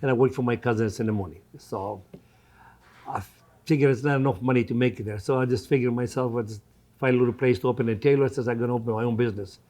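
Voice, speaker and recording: Greek guy in his 70s, mellow warm voice, non-native english, repeats himself, chatting in an interview like a restaurant owner. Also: mid-range voice, steady tone, fast. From Greece. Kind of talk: conversational interview.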